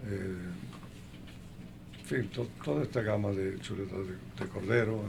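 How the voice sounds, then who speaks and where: An older man being interviewed in a conversational way, speaking haltingly and listing things one by one, with a gravelly voice. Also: on the low side, noisy background. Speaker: interview guest.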